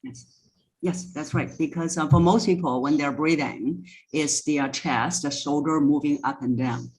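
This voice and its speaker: A woman's voice: low voice, measured pace, a little mellow, rough recording. Woman coach explaining step by step. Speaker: voice coach, podcast guest. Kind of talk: explanatory interview answers.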